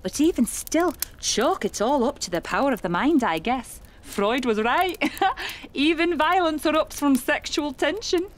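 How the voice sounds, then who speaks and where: A chatty, quietly sinister lady medium , acted by a woman in a horror audio drama. Her voice is high and very lively, fussy and theatrical as she muses to herself, with faint noise behind. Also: a little slow. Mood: wistful, wry. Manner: theatrical, exclamatory, musing to herself.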